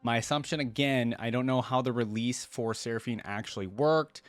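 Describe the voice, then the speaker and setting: A woman's voice: a bass voice, moderate pace, no echo, soft background music. A woman hosting a casual game reaction, musing and inquisitive, often checking her thoughts with a questioning tag. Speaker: reaction video host.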